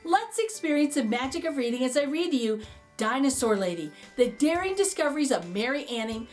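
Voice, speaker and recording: A woman reading a children's picture book aloud as a storyteller, expressive, with dramatic emphasis and pauses. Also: sits high, faint music behind. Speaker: read-aloud host and storyteller.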